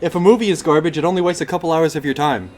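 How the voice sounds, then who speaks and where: A man hosting a casual, playful live podcast, his throat slightly hoarse as he recovers from being sick. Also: fairly high pitch, racing, a little mellow. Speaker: podcast host. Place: inside a game store.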